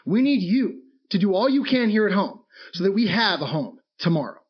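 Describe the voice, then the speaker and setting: High, animated radio newsman. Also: moderate pace, husky, dull audio, heavily acted. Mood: rallying. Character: upbeat propagandist radio news announcer. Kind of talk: wartime radio bulletin, audio drama.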